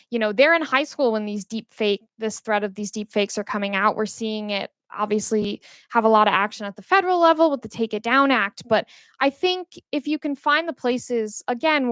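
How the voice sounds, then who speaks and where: A 25-year-old woman with a high voice speaks on a conference panel. She explains her points eagerly, with some fillers. The recording is dry and close. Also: fairly bright tone, dry recording. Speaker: panelist, tech reporter. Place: conference room.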